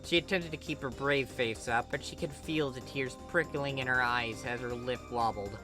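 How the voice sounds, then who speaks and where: A woman reading fan fiction as scripted narration, in an expressive, dramatic manner. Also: extremely low pitch, moderate pace, over music, poor audio. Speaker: story reader.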